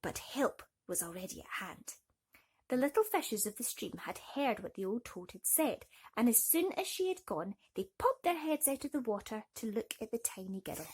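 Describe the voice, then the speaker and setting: Breathy woman reading bedtime stories to children. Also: on the high side, big pitch swings, moderate pace, darkish tone. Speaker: bedtime story reader. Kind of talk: expressive storytelling for children.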